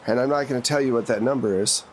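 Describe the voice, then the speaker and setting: A man's voice: mid-range voice, quick pace, slight background noise. A man acting as game master, narrating a tabletop roleplaying game in a casual style.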